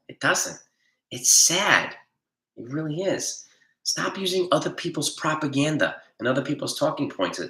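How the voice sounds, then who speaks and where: A man hosting a casual livestream, reading viewer comments and answering them bluntly, nasal from allergies and a cold and often clearing his throat. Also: medium pitch, pushed and bright, reverberant, rough recording. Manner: blunt, argumentative, defends his opinions. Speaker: livestream host.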